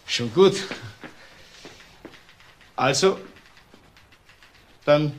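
High-pitched, defiant murder suspect. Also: male voice, animated, drawn-out words, slight background noise. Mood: incredulous. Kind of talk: German crime radio drama acting.

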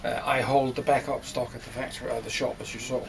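A man's voice: medium pitch, fairly even intonation, fast talker, gravelly, noisy background. An older man giving a factory tour, rambling with frequent restarts, recorded on a camera mic in a large warehouse. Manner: rambling, restarts, hands gesturing while talking. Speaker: audio company founder. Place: large warehouse with shelving, indoors.

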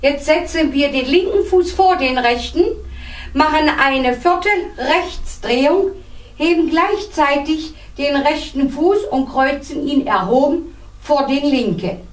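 A woman teaching line dance step by step, counting out the beats in a measured, deliberate way. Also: high voice. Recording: roomy echo, distant microphone.

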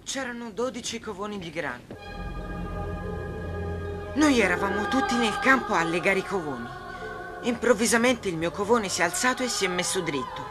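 An actor voicing a naive, trusting teenage boy, the youngest brother, in an animated film dub. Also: medium pitch, moderate pace, long pauses, lightly acted. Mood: earnest, guileless. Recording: music behind the dialogue.

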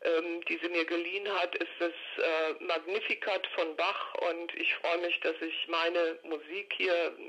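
A woman's voice: fairly low pitch, level tone, unhurried, hardly any pauses, a bit bright. An older woman giving a reflective interview by telephone, speaking in a hesitant, detailed, matter-of-fact way. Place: recorded over a telephone call. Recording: dull, lo-fi telephone line.

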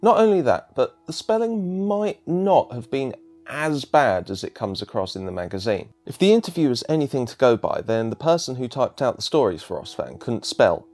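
A man's voice: medium pitch, big pitch swings, moderate pace, darkish tone, soft background music. A man giving scripted narration as a video essayist.

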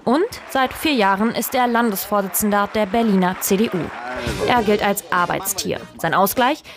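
A woman's voice: mid-range voice, normal speed. A woman reading a scripted voice-over for a television news report. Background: music.

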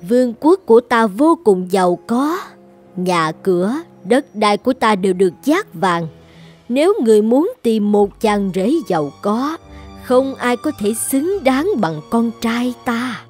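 A woman's voice: fairly high pitch, animated, unhurried, a little mellow, faint music behind. Mysterious older cartoon queen, boastful, theatrical, self-assured. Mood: boastful, sly. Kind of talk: dubbed children's cartoon acting.

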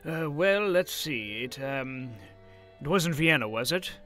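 An actor playing a kindly, whimsical old professor with a theatrical, musing manner in period drama style. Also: male voice, a little high, expressive, slow, bright, forceful tone, soft background music, heavily acted. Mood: puzzled. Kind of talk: period radio-drama acting.

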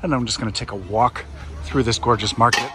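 A man narrating a relaxed walking tour of an outdoor market, speaking calmly and sparingly as a travel vlogger. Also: medium pitch, heavy background noise. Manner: calm, unhurried, sparse commentary. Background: crowd chatter.

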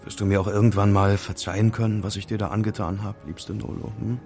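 A young hacker in a thriller radio play. He has a lowish voice and a sheepish, self-pitying, expressive way of talking, with music underneath. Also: fast, gravelly. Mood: remorseful. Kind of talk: audio drama dialogue.

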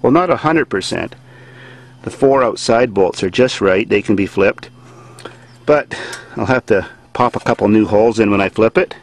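A man giving a laughing, excitable running commentary from a handheld camera while driving a car on gravel farm roads and working on it outdoors. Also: fairly low pitch, normal speed. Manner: excitable, laughing, crude joking. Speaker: farm vlogger. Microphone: handheld camera mic. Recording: loud engine and road noise. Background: roaring engine.

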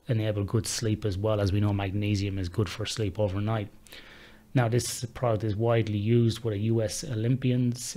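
A middle-aged man with a slightly low voice hosts a podcast from his home office. He chats and jokes casually, often restarting his sentences, heard through a headset mic on a video call. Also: darkish tone. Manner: chatty, joking, restarts often. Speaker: podcast host. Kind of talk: casual interview chat.

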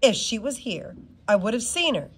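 A woman plays a proud storybook headmistress. She is firm, formal and assured, in a high voice with lively pitch. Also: normal speed, husky, exaggerated voice. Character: proud, firm, impeccably dressed female school head.

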